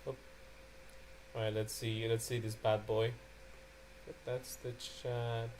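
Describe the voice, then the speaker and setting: A man's voice: mid-range voice, level tone, slow, a little mellow, a little hiss or hum, low-quality recording. A man hosting a live reaction stream, chatting casually and animatedly with friends. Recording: music playing behind the voice. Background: background music.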